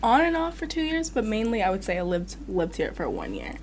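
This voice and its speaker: A woman's voice: mid-range voice, huge pitch swings, a little fast, slight background noise. Upbeat young woman hosting a student chat. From New York. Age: young adult. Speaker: host.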